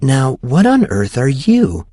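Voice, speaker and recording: Man voicing a sarcastic teenage tomboy, pitched up. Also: huge pitch swings, takes it slowly, dark tone, no echo, studio quality, full character voice. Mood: wondering. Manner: sarcastic, exasperated. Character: Sam, teenage tomboy girl, sarcastic, easily exasperated student.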